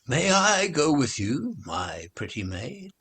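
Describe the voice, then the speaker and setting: Lilting, husky courting gentleman voiced by a man. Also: fairly high pitch, very animated, slow, pitched above the performer's own voice, exaggerated voice. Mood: courteous, inquisitive. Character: courting gentleman questioning a pretty milkmaid.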